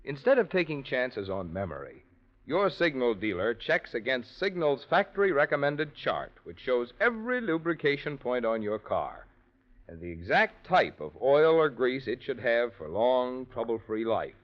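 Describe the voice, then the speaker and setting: Male announcer, 1940s radio sponsor read, mid pitch, highly animated intonation, muffled old recording. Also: normal speed.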